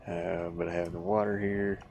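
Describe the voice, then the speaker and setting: A man chatting casually on a livestream while he works, in a halting, rambling, easygoing manner. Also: low-pitched, level tone, a little mellow, soft background music. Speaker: livestreamer, hobby game artist. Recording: clear background noise, live stream.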